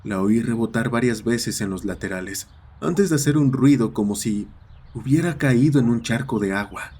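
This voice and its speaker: A man's voice: mid-range voice, lively intonation, normal speed, darkish tone, husky. A man narrating a scripted horror story. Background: ambient sound effects.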